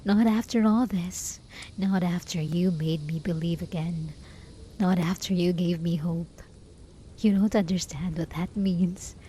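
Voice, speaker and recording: A woman's voice: fairly low pitch, fairly even intonation, measured pace, mellow, slight background noise, natural voice, poor audio. A woman playing a lonely, obsessive hermit in an intimate roleplay monologue, pleading desperately with sighs and whispers. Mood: anguished, possessive. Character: lonely, obsessive, lovesick hermit begging a traveler to stay.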